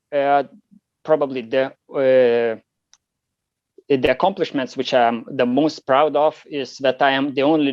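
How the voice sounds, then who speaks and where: A Lithuanian man speaking English with a Lithuanian accent as an interview guest, answering in a halting way with many fillers and restarts. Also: medium pitch, dry recording, muffled recording. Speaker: competitive shooter guest.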